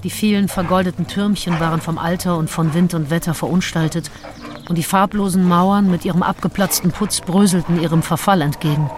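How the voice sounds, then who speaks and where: A woman's voice: on the low side, steady tone, brisk, natural voice. A woman playing an observant nineteenth-century traveller who reads precise, descriptive journal entries in a radio play. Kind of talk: scripted diary reading in a radio play. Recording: clearly audible ambient noise.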